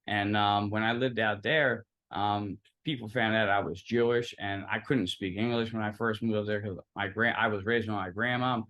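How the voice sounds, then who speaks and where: A man's voice: fairly low pitch, steady tone, normal speed, few pauses, a bit bright, low-quality recording. A young man from Philadelphia whose first language was Italian, speaking as an interview guest in a loose, rambling way with many fillers. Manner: rambling, filler-heavy, storytelling. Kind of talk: casual interview conversation.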